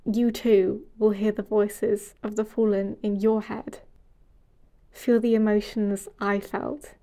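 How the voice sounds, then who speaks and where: A young woman hosting a podcast, reading a reflective scripted closing in a measured, earnest way. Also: mid-range voice, mellow. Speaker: podcast host and project researcher.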